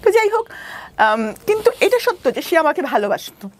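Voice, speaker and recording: A woman with a Bangladeshi accent giving a candid, personal account in a radio interview, halting at times and re-explaining herself as she goes. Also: sits high, sing-song. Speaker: interview guest telling her life story; doctor. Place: radio studio.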